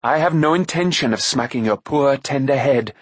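A stern, commanding warrior prince, as a male narrator puts him on, firm and short on patience. The voice is mid-pitched and slightly husky. It is recorded close with no room sound. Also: moderate pace, no echo, close to an everyday voice. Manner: firm, severe, impatient.

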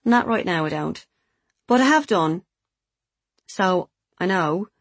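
A stern, watchful woman in a novel, as a female reader plays her. She is blunt and insistent, with a low, slightly rough voice that carries pointed understanding. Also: lively intonation, slow, no echo, full character voice. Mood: knowing. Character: stern, knowing, watchful woman giving a warning.

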